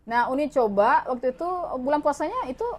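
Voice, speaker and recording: A woman's voice: high-pitched, lively intonation, a little fast. A middle-aged woman from West Sumatra, chatting casually as a podcast guest and often repeating her phrases. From West Sumatra, Indonesia. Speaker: podcast guest, online content creator.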